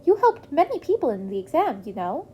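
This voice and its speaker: A woman's voice: high voice, very animated, fairly quick, mellow, slight background noise, voice pushed up. A woman voicing a cheerful, chatty male creature who is a school principal, speaking politely and eloquently in an acted story. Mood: upbeat, explaining.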